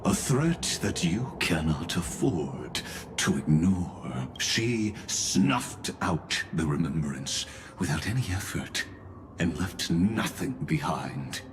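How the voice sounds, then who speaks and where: A cold, scheming genius from a fantasy game, his voice extremely low and very slow. He speaks with formal, ominous courtesy, every phrase measured, over clear background music. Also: lively intonation, full character voice. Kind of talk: scripted video game dialogue.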